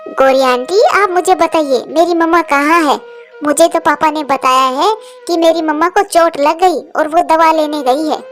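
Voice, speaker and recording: A woman's voice: high-pitched, normal speed, soft background music, lightly acted. A woman voicing an innocent, heartbroken little girl bird with a plaintive, childlike manner in a cartoon story. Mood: upset, accusing. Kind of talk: Hindi cartoon story acting.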